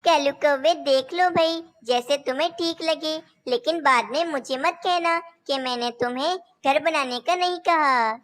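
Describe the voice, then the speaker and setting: A woman's voice: high voice, a little slow, few pauses, a bit bright. A woman voicing young female cartoon birds, caring and a little bossy, in a childlike, declamatory way. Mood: advising. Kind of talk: Hindi animated children's story dialogue.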